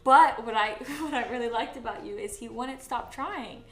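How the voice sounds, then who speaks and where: A woman co-hosting a casual couple Q&A, animated and quick to laugh. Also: fairly high pitch, roomy sound, crowd noise. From United States. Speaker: co-host.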